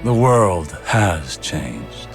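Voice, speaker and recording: An actor playing a wise, weary old warlock mentor in a video game, speaking in a measured, portentous way. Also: male voice, low voice, sing-song, full character voice. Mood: reflective, warm. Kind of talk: video game cutscene acting. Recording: loud music behind the voice.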